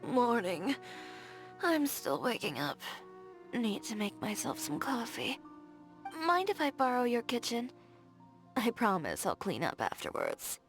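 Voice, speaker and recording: A woman's voice: a little high, wildly swooping pitch, measured pace, pauses often, exaggerated voice. A woman voicing a confident, blunt young fashion designer in a video game, direct and playfully teasing. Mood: relaxed. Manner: direct, self-assured, teasing. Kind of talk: scripted video game character dialogue. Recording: background music.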